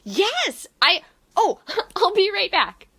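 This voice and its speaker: An actress playing an eager, giggly young woman student in an audio drama, bubbly and excitable. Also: very high-pitched, slow, heavily acted. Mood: shy, eager.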